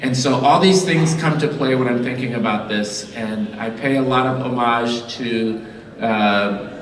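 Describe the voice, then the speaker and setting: A man from Liberia giving an artist talk in a gallery, speaking slowly with many pauses and fillers. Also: medium pitch. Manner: slow, halting, many fillers. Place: large echoey gallery indoors. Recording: roomy hall, far from mic.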